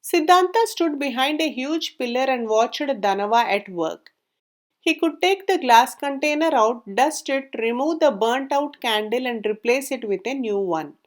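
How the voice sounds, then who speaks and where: A woman's voice: high voice, animated, a little slow. A woman reading a folk tale aloud as scripted storytelling narration. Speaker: story reader.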